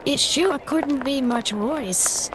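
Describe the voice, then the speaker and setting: A woman's voice: high voice, slow, background noise. A woman playing a tough, sarcastic, threatening wife in 1940s-style radio comedy.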